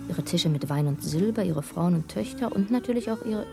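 An actress playing a sharp-tongued, teasing girlfriend in a radio play, with a declamatory delivery. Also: fairly low pitch, expressive, brisk, gravelly, music in the background. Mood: mock declamation.

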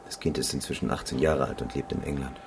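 Weary, sardonic male photographer for radio drama; extremely low register, skeptical edge, faint background noise. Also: little pitch movement, quick pace, creaky. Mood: exasperated. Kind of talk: naturalistic radio drama acting.

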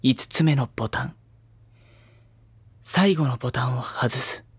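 A man playing a reserved, dry high-school boy who narrates softly and breathily in an audio drama. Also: measured pace, slightly rough. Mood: hushed, restrained. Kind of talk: first-person narration in anime audio drama.

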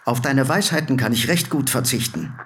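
A man's voice: mid-range voice, a little fast, a bit bright, slight background noise, close to an everyday voice. An actor playing an anxious, proud middle-aged businessman in a radio drama, speaking in a curt, urgent way. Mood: tense, reproachful.

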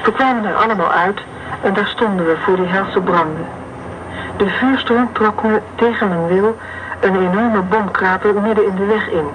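A man's voice: sits high, moderate pace, noisy background, lightly acted. An actor playing a man who stiffly reads out a war story and grows reluctant to go on. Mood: uneasy. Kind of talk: radio play acting.

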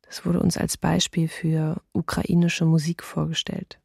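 An actress playing a weary wartime civilian in a documentary radio play, reading a diary in an understated manner. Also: female voice, sits low, little pitch movement, measured pace, creaky, a little mellow, breathy edge, dry recording, studio quality, exaggerated voice. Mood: weary, matter-of-fact.

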